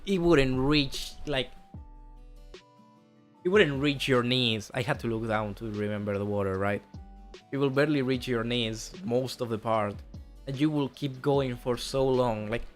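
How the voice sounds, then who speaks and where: A young man with a light Spanish accent chats loosely about a trip, as a streamer does. His voice sits in a middle register, and he rambles, corrects himself and swears offhandedly. Soft music plays faintly underneath. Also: medium pitch, unhurried. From Spain. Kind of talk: casual chat about a trip.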